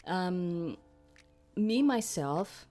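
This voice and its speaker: Middle aged woman gamer rambling to viewers, kinda hesitant, really slow talker, bit of music behind. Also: on the low side, expressive, mellow. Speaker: host of a gaming channel. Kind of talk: casual rambling chat to viewers.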